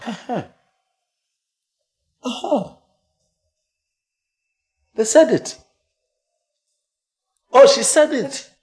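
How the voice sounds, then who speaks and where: A man playing a devoted, earnest grown son in a melodrama, speaking in halting, repetitive phrases. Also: medium pitch, very animated. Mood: agitated, upset. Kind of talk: melodramatic screen acting. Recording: clear background noise, room ambience.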